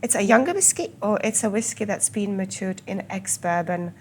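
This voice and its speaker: A woman from the Czech Republic with a Czech accent and a slight Scottish tinge, guiding a tour of a whisky collection and explaining it patiently, with small pauses. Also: mid-range voice, slight background noise. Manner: fluent, with filler pauses and slow stressed words. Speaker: whisky guide. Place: whisky collection room, indoors.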